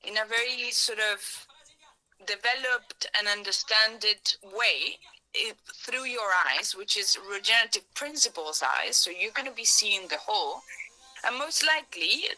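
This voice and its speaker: A woman with an Argentine Spanish accent leads a hands-on workshop in English, her second language. Her voice is very bright and sits at a middle pitch. She pauses to hunt for the right word and often circles back to rephrase. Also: low-quality recording. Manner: searching for words, restarts phrases, hedges with "sort of". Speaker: workshop facilitator. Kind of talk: live workshop talk to hackathon participants.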